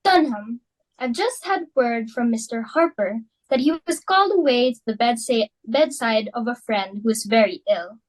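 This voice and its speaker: A woman's voice: fairly high pitch, expressive, poor audio. A young woman reading a fussy society hostess. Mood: fussing. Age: young adult. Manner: fussy, emphatic, quick to exclaim. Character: excitable fashionable society matron hostess. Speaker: reader for Mrs. Pringle. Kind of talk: student dramatic reading.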